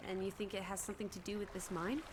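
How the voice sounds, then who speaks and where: A woman's voice: mid-range voice, little pitch movement, fast talker, noisy background, lightly acted. A woman voicing a cheeky, playful teenage girl companion in a video game. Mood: inquisitive. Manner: teasing, playful, exclaiming.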